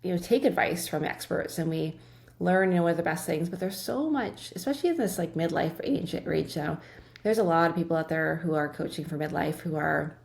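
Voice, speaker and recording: A middle-aged woman, a nutrition practitioner, talking as a guest in a casual interview, quick and earnest with frequent restarts. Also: deep voice, creaky.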